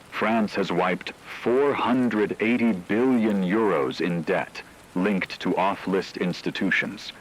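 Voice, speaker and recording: A very deep acted male voice of a grave news anchor in an apocalyptic radio drama. His formal, solemn delivery rises and falls expressively, over a faint rain-like noise. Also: rough recording. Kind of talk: scripted dramatic news broadcast narration.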